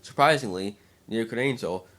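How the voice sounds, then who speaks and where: A man with a fairly low voice serves as narrator. He gives a dramatic reading of fan fiction in a slightly halting delivery. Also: a bit bright.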